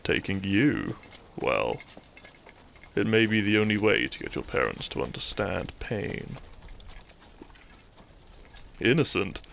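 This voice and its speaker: Guy playing a bitter kidnapper thief for audio roleplay, deep creaky voice, brooding and sarcastically polite, slow dramatic pauses. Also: very animated, a bit bright, a little hiss or hum, full character voice. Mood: cold, menacing. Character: bitter young male thief, kidnapper, once a noble's childhood friend. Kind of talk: scripted audio roleplay, addressing the listener.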